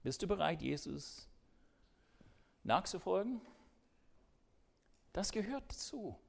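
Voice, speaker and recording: An older man preaching a sermon earnestly, swinging between loud emphasis and hushed, quiet asides. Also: sits high, sing-song, slow, gravelly.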